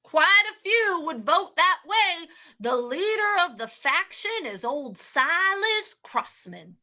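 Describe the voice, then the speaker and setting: A woman's voice: very high-pitched, sing-song, sharp tone, heavily acted. A woman playing a baffled, hesitant small-town sheriff in a radio drama reading. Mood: earnest. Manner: hesitant, halting. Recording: dull lo-fi sound.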